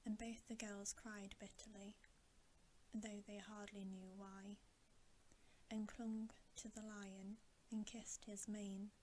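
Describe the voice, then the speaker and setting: A woman whispering a bedtime story in a hushed, gentle, deliberate ASMR reading. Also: mid-range voice, a little hiss or hum, rough recording. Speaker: ASMR reader.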